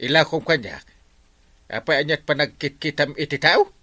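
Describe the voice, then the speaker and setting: An actor playing an exasperated, blustery village captain who scolds and exclaims in a comedic radio drama. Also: male voice, fairly high pitch, moderate pace, sharp tone. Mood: scolding.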